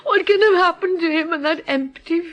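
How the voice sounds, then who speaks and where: An actress with a Welsh accent playing an emotional rural mother of a small boy in a radio drama, in lilting, drawn-out words. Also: extremely high pitch, lo-fi sound. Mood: stunned grief.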